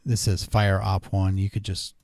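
A man hosting a casual screen-recorded software tutorial, explaining each step informally. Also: low-pitched, monotone, normal speed, no echo, clean studio sound. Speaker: tutorial host. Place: indoors at a desk.

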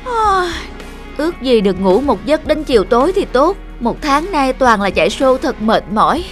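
A woman's voice: sits high, big pitch swings, normal speed, soft background music. Woman voicing a weary young male singer. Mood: tired. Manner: plaintive, complaining.

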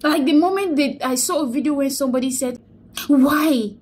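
A young woman with a high voice records herself on a phone held close, indoors. She mourns and exhorts by turns, saying phrases again and again as if she were preaching a sermon. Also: moderate pace, darkish tone, slight background noise. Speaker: vlogger. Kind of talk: emotional selfie vlog, preachy. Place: indoors, small room. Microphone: phone held close to face.

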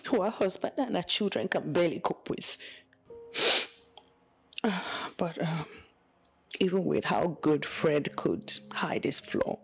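A woman narrating in first person as a troubled wife, soft and often whispering. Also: a little high, vocal fry, exaggerated voice. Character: remorseful young wife telling her story.

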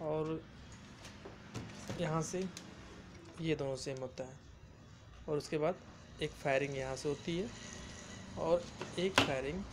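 A man's voice: fairly high pitch, steady tone, takes it slowly, long pauses, background noise. A man hosting an electronics repair channel, explaining a welding machine's circuit boards step by step while filming on a phone in one hand in a workshop. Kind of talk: hands-on repair tutorial. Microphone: phone held in one hand.

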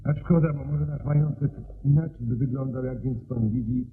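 A man's voice: medium pitch, normal speed, narrow, tinny audio, slight background noise, lightly acted. A man acting an anxious, courteous estate owner in a radio drama, speaking in a genteel, hesitant and earnest way. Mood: wistful, resigned. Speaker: actor.

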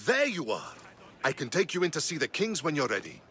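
A loyal Spartan soldier in a video game cutscene. He sounds earnest and respectful, with a bright, forceful tone and animated intonation. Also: on the high side, brisk. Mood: urging. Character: earnest, loyal Spartan officer advising a friend. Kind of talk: dramatic video game acting. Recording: music behind dialogue.

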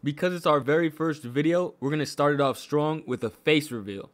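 This is a man with a somewhat high-pitched voice and an American accent that carries a faint trace of New York. He narrates a scripted gaming channel video. His delivery is informal and playful, sprinkled with slang. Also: a little fast. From New York, USA. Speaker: gaming YouTuber, host.

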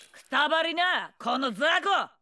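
Older female warlord, video game villainy, high-pitched, sharp forceful edge, snarling, rough slang, brash, mocking, bloodthirsty. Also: exaggerated voice. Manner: rough slangy taunting, cackling and menacing. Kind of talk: video game character voice lines.

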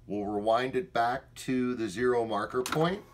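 A man's voice: medium pitch, a little slow, slight background noise. A man talking casually as he gives a hands-on product demonstration in a room at home. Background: music playing at times.

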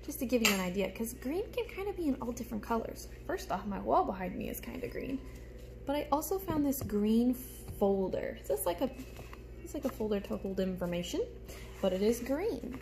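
A woman's voice: a little high, big pitch swings, fairly quick, darkish tone, slight background noise. A young woman teacher giving a show-and-tell lesson to small children, explaining things simply and expressively. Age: young adult. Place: small room at home, indoors. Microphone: phone held close in front.

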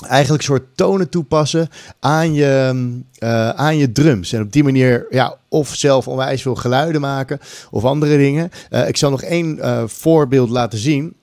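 A man's voice: mid-range voice, moderate pace, a little mellow, no echo. A middle-aged man gives a casual, informal tutorial, slipping English phrases in, close to a condenser mic. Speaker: music production tutor. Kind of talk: casual screen-recorded tutorial. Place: small room at home. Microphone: large condenser mic on a boom arm, close up. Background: music loops.

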